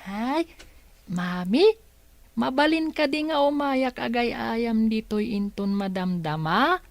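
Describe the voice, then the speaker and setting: A woman with an Ilocano accent playing a bright, curious, polite little village boy in a radio drama, chatty and wide-eyed. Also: on the high side, animated, slow pace, dry recording. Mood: eager.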